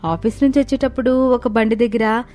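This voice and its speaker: A woman voicing a kind, outspoken young female office worker in an animated moral story, speaking earnestly. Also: fairly high pitch, a little fast, lightly acted. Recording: background music under the voice.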